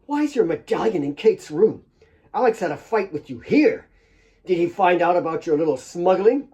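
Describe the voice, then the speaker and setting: A man's voice: sits high, sing-song, moderate pace, warm tone, husky, pitched above the performer's own voice, exaggerated voice. A man voicing a bitter, jealous, hard woman in an audiobook, with a taunting, accusing delivery.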